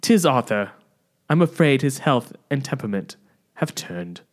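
A man's voice: medium pitch, sing-song, moderate pace, pitched above the performer's own voice, close to an everyday voice. A man playing a solemn lord bearing grim news in mock-archaic speech, with an attempted British accent drifting into Australian. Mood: grave. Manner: solemn, theatrical, archaic diction. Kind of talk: amateur comic period-play read.

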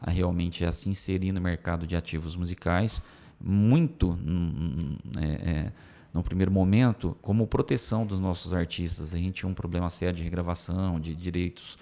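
Guest, male, very low voice, Brazilian country twang, easygoing storyteller. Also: normal speed. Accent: Brazilian Portuguese with a country twang picked up from the Center-West. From São Paulo state, Brazil. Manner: storytelling, relaxed, many fillers. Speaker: music publisher guest. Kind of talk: casual interview talk.

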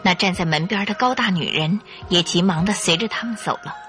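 A woman's voice: fairly low pitch, a little fast, a bit bright, soft background music. A woman narrating a Mandarin radio drama as a dramatic storyteller.

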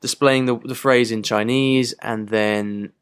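A man's voice: medium pitch, steady tone, slow, smooth. A man with an English accent hosting a podcast, talking in a loose, chatty way with many fillers and restarts on a poor, broken microphone. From England. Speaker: podcast host. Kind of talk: casual podcast chat. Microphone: broken microphone with poor sound.

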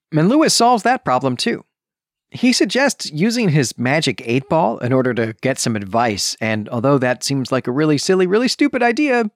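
A man hosts a book discussion podcast with a lively, swooping delivery. He talks conversationally and leans on key words for emphasis, often checking in with the listener. The sound is dry, with no room around the voice. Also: a little high, no echo. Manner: emphatic, checks in with rhetorical "right?". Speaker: podcast host.

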